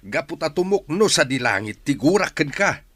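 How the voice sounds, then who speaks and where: A middle-aged man with an Ilocano accent playing a resentful, grieving father in a radio drama, his voice husky. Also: a little high, big pitch swings, moderate pace, fairly bright tone, no room sound. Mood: bitter, accusing.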